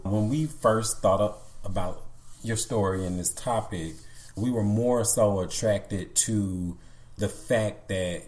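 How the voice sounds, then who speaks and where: Brief: male co-host, earnest and measured, slow pace, warm dark tone. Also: lowish voice. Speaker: podcast co-host. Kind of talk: interview questions.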